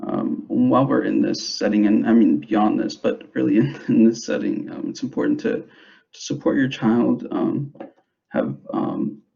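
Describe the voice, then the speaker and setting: A young man who grew up in the United States, giving an informal presentation to parents in a hesitant, halting way, on a dull, muffled recording. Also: medium pitch, expressive, gravelly, dark tone. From grew up in the United States, born in El Salvador. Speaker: school substance abuse prevention specialist.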